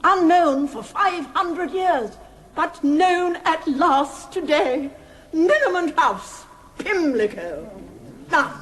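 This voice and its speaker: A woman playing an excitable history professor, declaiming dramatically in a fluttery radio comedy style. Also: sits high. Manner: dramatic, declamatory, relishing each phrase.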